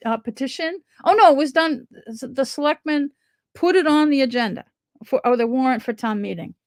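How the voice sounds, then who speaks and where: A woman's voice: sits high, dry recording. Earnest, rambling middle-aged woman, dry sound. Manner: rambling, earnest, many restarts. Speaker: local resident promoting a town petition. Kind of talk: casual direct-to-camera talk.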